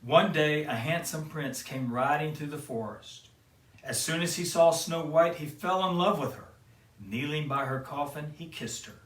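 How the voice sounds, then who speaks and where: A man gently reading a children's storybook aloud, soft-spoken and sometimes dropping to a hush. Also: fairly high pitch, moderate pace. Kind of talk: reading a picture book aloud to kids. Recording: roomy sound from a distant mic with guitar music. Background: guitar music.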